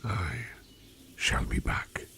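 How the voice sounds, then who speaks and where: A man playing a courteous, secretive sleep demon in disguise for an ASMR roleplay, speaking in formal, old-fashioned, halting phrases in a breathy near-whisper. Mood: polite, unhurried. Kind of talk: soft-spoken ASMR roleplay addressed to the listener.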